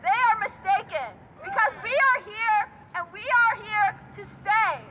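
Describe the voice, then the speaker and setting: Woman firing up a street rally, really high voice, muffled, traffic noise all around. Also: expressive, unhurried. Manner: rousing, punchy, chant-like. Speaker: rally emcee. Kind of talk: fiery rally speech and introductions. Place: outdoor city street rally. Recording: muffled outdoor recording with crowd and traffic.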